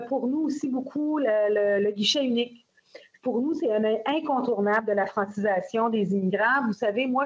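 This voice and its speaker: A woman's voice: a little high, husky, muffled recording. A woman with a Québécois accent presenting a prepared statement at a hearing, earnest, with folksy sayings. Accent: Québécois French. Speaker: union representative presenting a brief.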